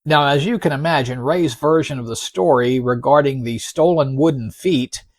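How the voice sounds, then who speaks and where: A man hosting a podcast, narrating in a folksy, wry and measured way, reading out quotes with deliberate emphasis. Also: medium pitch, no echo. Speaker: podcast host. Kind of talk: narrated podcast storytelling with read-out article quotes.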